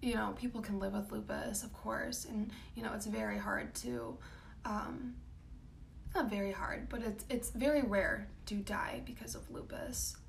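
Raspy young woman, casual rambling. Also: measured pace, slight background noise. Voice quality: raspy, low voice. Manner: casual, rambling, frequent ums and likes. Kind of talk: personal vlog talk. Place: home room.

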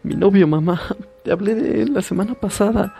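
A man voicing a frightened young woman who pleads and stammers in panic, in a gravelly recorded horror story. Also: high voice, brisk, warm tone, soft background music, heavily acted. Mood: scared.

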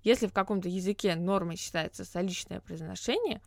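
A woman's voice: medium pitch, a little fast, vocal fry, a little mellow, no echo, studio quality. A woman hosting a podcast, talking through ideas on her own in an explanatory, reflective way with frequent hesitation sounds. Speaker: podcast host. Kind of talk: solo podcast monologue.

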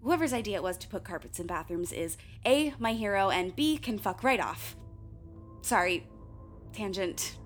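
A woman's voice: mid-range voice, lively intonation, normal speed, close to an everyday voice. A woman playing a candid, wry, uneasy young filmmaker who narrates a radio play in a confiding way, with tangents and swearing. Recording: music behind the voice.

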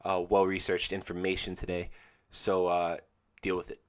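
Male podcast host, deep voice, congested and drowsy, enthusiastic ad read with lots of ums. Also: completely flat delivery, moderate pace, clean tone. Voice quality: slightly nasal. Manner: rambling and enthusiastic, many fillers and restarts. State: congested from a cold, sleepy morning voice.

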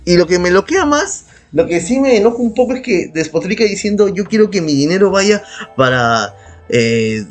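A man's voice: high-pitched, animated, normal speed. A Peruvian man co-hosting a casual podcast, argumentative and sarcastic, swearing freely as he gives his opinions. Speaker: podcast co-host. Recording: background music under the voice. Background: music.

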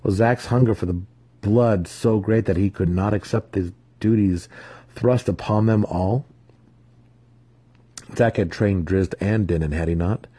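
A man's voice: fairly low pitch, a little slow, warm tone, husky, no room sound. A man narrating a fantasy audiobook in a dramatic storytelling style.

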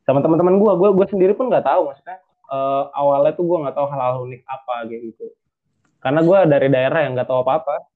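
A man's voice: mid-range voice, fast talker. A young man from North Sumatra, Indonesia, telling his story casually in an interview, rambling with many fillers and restarts. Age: young adult. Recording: tinny phone-like line.

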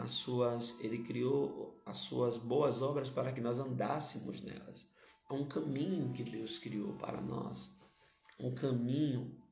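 A hoarse male pastor, preaching a sermon and repeating key phrases to his listeners. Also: medium pitch, a little mellow, echoey room.

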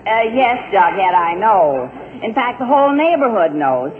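A woman's voice: mid-range voice, big pitch swings, normal speed, crowd noise, natural voice. An actress playing a harried, bossy but caring middle-aged mother in 1940s radio sitcom style. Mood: firm, patient. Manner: exasperated, emphatic. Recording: tinny, noisy 1940s radio broadcast.

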